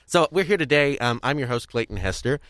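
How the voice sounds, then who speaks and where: Young man hosting, lively swooping pitch. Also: no room sound. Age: young adult. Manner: rambling, halting, self-restarting. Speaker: podcast host. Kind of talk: casual conversational hosting.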